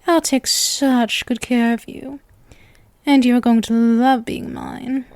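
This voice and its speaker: A woman's voice: fairly high pitch, fairly even intonation, takes it slowly, darkish tone, no room sound. A woman playing a sweet, possessive, lovestruck young witch in an ASMR roleplay, coy and teasing as she addresses the listener. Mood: sweetly possessive. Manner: coy, teasing, sugary with menacing devotion.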